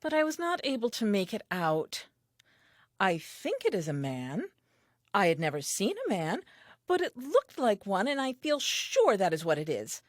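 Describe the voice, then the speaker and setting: A woman's voice: mid-range voice, big pitch swings, normal speed, no echo, clean studio sound, natural voice. An actress playing a curious, earnest, newly created first woman, reading her diary aloud with naive, precise self-assurance in an audio play.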